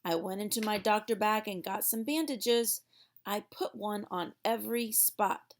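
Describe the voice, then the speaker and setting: A woman's voice: medium pitch, measured pace, slight background noise. A woman reading a storybook aloud for young children in a theatrical way, drawing out words for emphasis.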